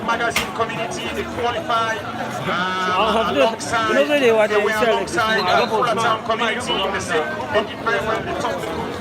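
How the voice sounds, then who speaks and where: A man with a Sierra Leonean accent giving live football commentary in emphatic, repetitive phrases. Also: sits high. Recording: distant mic in loud crowd. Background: loud crowd at outdoor ground.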